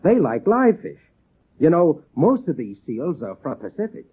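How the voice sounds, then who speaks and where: A man playing a patient, folksy circus seal trainer, coaxing and a little halting, in a 1930s radio serial. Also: on the high side, sing-song, slightly rough, heavily acted. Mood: explaining. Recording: tinny, band-limited old radio recording.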